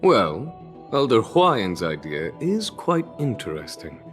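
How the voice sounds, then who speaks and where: A man's voice: lowish voice, wildly swooping pitch, takes it slowly, faint music behind, heavily acted. An actor playing a composed, wise, fatherly general and mentor in an anime game. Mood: calm, thoughtful.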